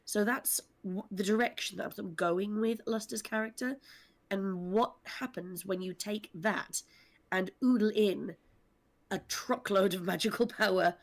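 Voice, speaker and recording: A woman's voice: mid-range voice, a little slow. A woman speaking articulately and earnestly at length, explaining characters on a discussion panel.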